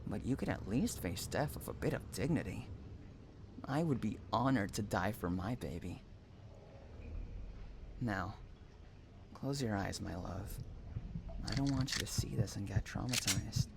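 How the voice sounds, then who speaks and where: Man acting slow-talking obsessive, menacing stalker, noisy recording. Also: lowish voice, animated, vocal fry. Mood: contemptuous. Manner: taunting, swinging between soft coaxing and menace. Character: obsessive, possessive, unhinged male stalker and kidnapper. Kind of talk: intimate audio roleplay acting.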